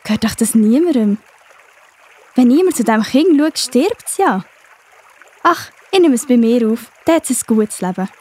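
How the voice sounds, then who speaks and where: A woman's voice: a little high, expressive, moderate pace, pauses often, a little mellow, husky. A woman playing a kind, tender-hearted young princess in a children's audio drama, exclaiming and cooing. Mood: tender concern.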